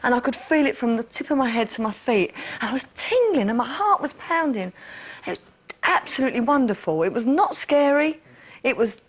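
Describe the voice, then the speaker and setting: A woman's voice: fairly high pitch, big pitch swings, fairly quick, breathy edge. Woman talking candidly. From East London. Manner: earnest, reflective, repeats herself. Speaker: interview guest. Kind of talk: candid personal interview.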